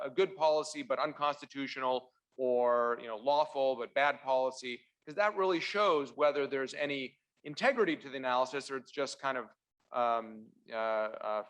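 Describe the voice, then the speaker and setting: Wry, halting man giving debate remarks. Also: a little high, fairly bright tone. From born in Russia, raised in central Ontario, Canada. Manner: hesitant, heavy with "uh" fillers and restarts, dry wry asides. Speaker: constitutional lawyer, debater. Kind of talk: opening remarks at a university debate.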